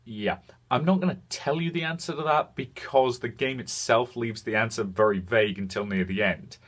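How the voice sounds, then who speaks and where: A man hosting a video game review, speaking frankly in semi-scripted commentary with deliberate pauses for emphasis. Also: mid-range voice, fairly bright tone.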